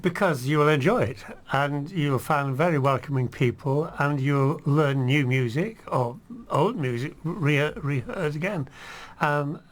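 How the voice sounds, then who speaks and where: A man who sings in a choir, a guest in a radio studio, with a voice pitched a little high for a man. He speaks earnestly and warmly invites listeners to join. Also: a little slow, hardly any pauses. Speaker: choir member.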